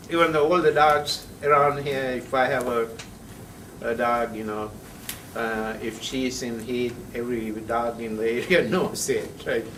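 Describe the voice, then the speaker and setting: A man's voice: medium pitch, a bit bright, recorded from a distance, slight background noise. Man, lecturing in an echoey room. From Sri Lanka. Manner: halting, many "uh" pauses, checks in with "right?". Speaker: chemistry professor. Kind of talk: classroom lecture. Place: lecture classroom. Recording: echoey classroom heard from afar.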